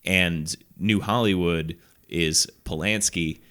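A man with a cold and a very deep voice, chatting and joking as a podcast co-host. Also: slow, a bit bright. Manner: rambling, joking, laughs a lot. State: sick, sore throat. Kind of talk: casual chat.

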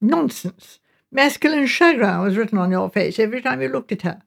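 A spirited young English sister from an audiobook novel, as a woman reader plays her. She is outspoken, chatty and mischievous, speaking with emphasis. The voice is fairly high and noticeably creaky. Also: lively intonation, a little fast, heavily acted. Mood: teasing, mischievous.

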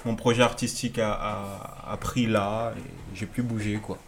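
A man in his thirties, originally from Alsace, answers interview questions as a guest artist. His voice sits on the low side, and he tells his stories in an easygoing way, full of fillers. A faint hiss runs behind him. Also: moderate pace. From Alsace, France. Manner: relaxed storytelling, frequent fillers. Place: apartment.

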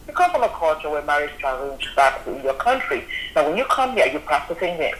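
A woman with a Cameroonian accent, a magazine founder speaking as a guest on a radio interview, expressive and quick to laugh. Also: sits low, reverberant, low-quality recording. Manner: expressive, laughing often, acts out quoted voices. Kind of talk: animated radio interview talk.

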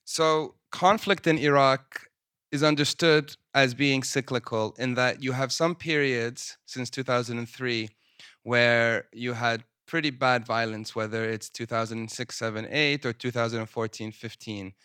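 A man presenting his research at a panel in a conference room, speaking in a measured way with frequent ums. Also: mid-range voice, steady tone, bright, forceful tone, clear, resonant tone, dry recording, clean studio sound. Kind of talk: academic talk at a panel. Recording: poor sound quality.

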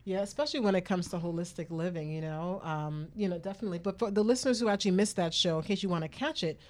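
A woman's voice: fairly low pitch, quick pace, darkish tone. Woman hosting a casual talk radio show.

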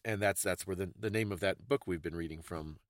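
A man with a fairly low voice talks as a podcast co-host, explaining folklore conversationally. His phrasing is thoughtful and a little halting, and the sound is dry with very little room. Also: no echo.

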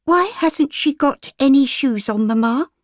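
A woman reading aloud voices a prim, curious rich little girl. The voice is very high and lively, recorded close and dry. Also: measured pace, no echo. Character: well-off little Victorian girl.

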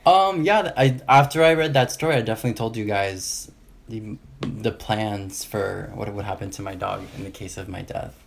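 A woman with an extremely deep voice chats casually as a podcast co-host. Her speech has a lot of gravelly fry. Faint noise is audible behind her. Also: moderate pace. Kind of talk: casual group podcast chat.

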